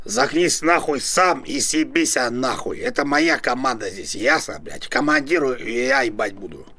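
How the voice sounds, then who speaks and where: A man in his fifties cursing and shouting orders at his teammates over game voice chat, swearing constantly and muttering insults under his breath. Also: medium pitch, expressive, moderate pace. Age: 50s. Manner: swearing nonstop, domineering, bossing his team around. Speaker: online tank game player in voice chat. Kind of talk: abusive trash talk over team voice chat.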